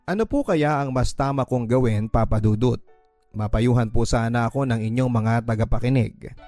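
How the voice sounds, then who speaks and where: Casting: Filipino man, average-pitched, emotive radio host narrating heartfelt letters and advice over a music bed. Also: normal speed, a little mellow, no echo.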